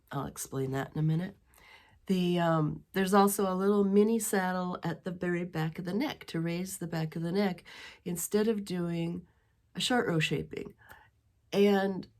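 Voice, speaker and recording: Woman, middle-aged, deep voice, congested with a cold, rambling casual chat, home dining room. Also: a little mellow. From western Wisconsin. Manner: chatty and rambling, with many ums and restarts. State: stuffy head from a cold. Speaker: knitting podcast host.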